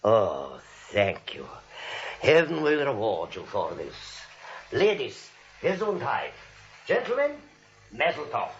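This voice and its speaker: A man's voice: mid-range voice, huge pitch swings, takes it slowly, a little hiss or hum, heavily acted. A man playing a meek, nervous, crooked middle-aged doctor with a German accent in 1940s radio comedy. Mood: meek relief. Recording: muffled vintage radio recording.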